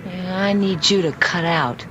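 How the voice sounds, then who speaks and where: An actress playing a defiant, streetwise but soft-hearted teenage girl in old-time radio drama, brash and slangy. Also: lowish voice, a little slow, lo-fi sound, soft background music. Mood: guarded, dismissive.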